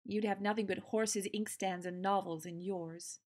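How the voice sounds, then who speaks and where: A woman's voice: mid-range voice, steady tone, normal speed, a little mellow, lightly acted. A woman playing a proper, motherly elder sister in an audio drama, with a prim, lilting way of speaking. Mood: dreamy. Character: proper, motherly eldest sister, gently scolding. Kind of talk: theatrical audio drama acting.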